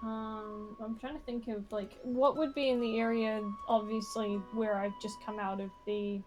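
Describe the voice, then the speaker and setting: High-voiced woman, casual player, music behind. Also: fairly even intonation, moderate pace, a little mellow. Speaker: player in a tabletop game. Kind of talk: casual game chat.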